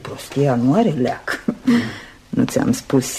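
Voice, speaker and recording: A woman's voice: extremely low pitch, animated, mellow, a little hiss or hum. An actress playing a wry, knowing woman host in a Romanian radio play. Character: wry, sardonic woman host serving a guest. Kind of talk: Romanian radio theatre acting.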